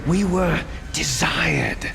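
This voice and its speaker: A man's voice: fairly high pitch, sing-song, extremely slow, vocal fry, over music, exaggerated voice. A man playing a bitter, proud soldier villain in a video game, grandiose and taunting, drawing out his words dramatically. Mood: grandiose, impassioned.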